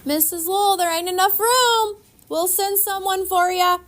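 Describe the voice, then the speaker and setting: A woman's voice: very high-pitched, steady tone, moderate pace, fairly bright tone, a little hiss or hum, pitched above the performer's own voice. A woman voicing a plucky Southern girl in a story, calling out in an urgent, outspoken way.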